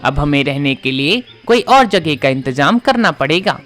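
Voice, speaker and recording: The gruff, scheming chief of a gang of robber birds in a cartoon, voiced by a man. His fairly high voice swings up and down as he bosses his gang, with faint music underneath. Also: very animated, moderate pace, fairly bright tone. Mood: worried.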